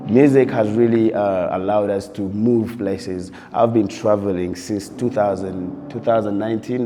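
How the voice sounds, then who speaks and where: A man talks through his life in music with a low, warm voice. He speaks in a loose, storytelling way, dropping "you know" into nearly every thought. A long reverb trails behind his words. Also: a little hiss or hum. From Uganda. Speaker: DJ and producer. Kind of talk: reflective interview talk. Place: interview set while on tour abroad.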